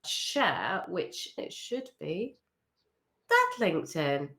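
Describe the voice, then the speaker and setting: A woman hosting an interview, giving lively, chatty feedback on branding with frequent laughs. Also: fairly low pitch, very slow. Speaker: host.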